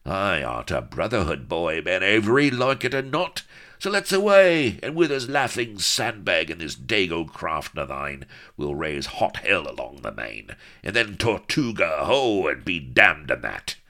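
A man voicing a loud, boisterous bearded pirate who bawls his lines. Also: low voice, very animated, unhurried, full character voice. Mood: rowdy. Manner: bawling, roaring.

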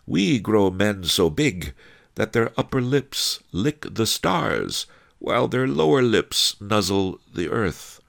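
A man's voice: medium pitch, very animated, moderate pace, vocal fry, a bit bright, voice pushed up, full character voice. A man voicing a sly old master in a storybook, speaking sweetly and with mock-formal politeness.

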